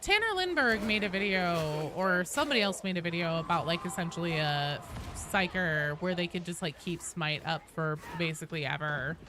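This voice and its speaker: A woman's voice: on the low side, moderate pace, hardly any pauses, noisy background. A woman in her late thirties chatting casually on a game livestream and reading out viewer messages, informal and sweary. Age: late 30s. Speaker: game streamer.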